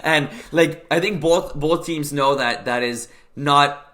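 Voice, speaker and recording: Young male co-host, bright tone, easygoing and hesitant delivery. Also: on the high side, slow pace. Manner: relaxed, hesitant with many fillers. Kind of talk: casual sports recap chat.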